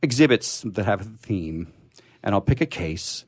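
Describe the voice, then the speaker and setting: A man with a low voice and a sore throat hosts a radio show in a loose, conversational way. His pitch moves around a lot as he stumbles, backs up and restarts his phrases. The sound is close and dry. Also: expressive, dry recording. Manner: halting, often stammering and restarting mid-phrase. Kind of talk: casual unscripted radio talk.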